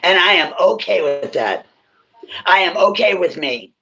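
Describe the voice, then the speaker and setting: Gravelly blunt-talking woman. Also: lowish voice, animated, a little slow, dull audio. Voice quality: raspy, gravelly voice. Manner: blunt, emphatic, swears freely. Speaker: livestream guest. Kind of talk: casual livestream chat.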